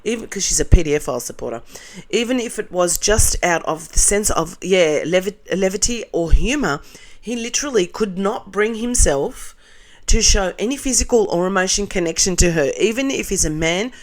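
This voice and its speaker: A middle-aged Australian woman with a nasal, croaky morning voice, reading and judging viewer comments in a blunt, sarcastic vlog. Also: medium pitch, expressive, moderate pace. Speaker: commentary vlogger.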